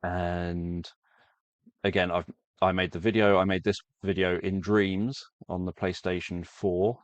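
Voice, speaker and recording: A man's voice: sits low, level tone, a little slow, no room sound. A man who makes sleep stories, talking casually to viewers and rambling through his explanations. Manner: rambling, self-correcting explanation. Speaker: sleep story creator.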